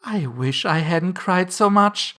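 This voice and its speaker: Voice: mid-range voice, expressive, slow pace, bright, forceful tone, voice pushed up. A narrator voicing a polite, eager little storybook girl who talks in an earnest, chatty, apologetic way. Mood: rueful.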